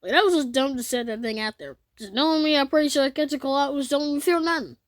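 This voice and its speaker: A woman's voice: high voice, quick pace, no echo, clean studio sound. A woman giving casual reaction commentary in a blunt, colloquial way. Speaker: reaction video host.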